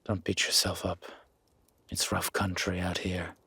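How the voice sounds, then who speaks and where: Man playing a very husky, low werewolf. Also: completely flat delivery, bright, forceful tone, dry recording, full character voice. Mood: calm, measured. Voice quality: husky, gravelly rasp. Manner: slow, measured, dry, guarded. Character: gruff, wary male werewolf, protective founder of a sanctuary. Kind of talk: roleplay audio drama, intimate one-sided dialogue.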